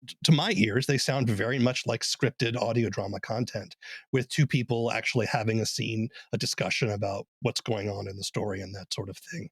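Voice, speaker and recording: A man's voice: on the low side, fairly quick, breathy edge, no room sound. A man hosting an interview in a conversational style. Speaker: interviewer, podcast host.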